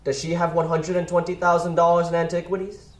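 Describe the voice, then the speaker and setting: A woman's voice: on the low side, little pitch movement, quick pace, close to an everyday voice. A woman student actor playing a scornful, argumentative loan shark in a stage play, with an emphatic, sardonic delivery. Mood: incredulous, mocking. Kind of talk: stage play acting in a one-act play. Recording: echoey theater room, faint noise.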